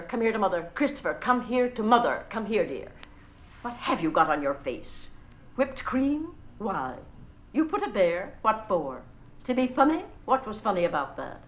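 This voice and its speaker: A woman's voice: mid-range voice, dull audio, slight background noise, lightly acted. A woman playing a chattering fussy society mother. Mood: scolding. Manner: gushing, gossipy, scolding the children between sentences. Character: chattering fussy society mother, gossipy, bossy with her children. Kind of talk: solo stage character monologue.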